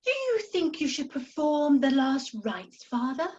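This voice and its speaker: A woman's voice: sits high, big pitch swings, unhurried, dull audio. A woman acting an inquisitive, catty cruise passenger in a comedy radio play.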